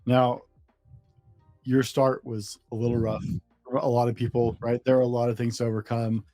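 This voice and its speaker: A man's voice: medium pitch, little pitch movement, normal speed, dark tone, dry recording, soft background music. A man hosting an interview, encouraging his guest and summing up points for his listeners. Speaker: podcast host.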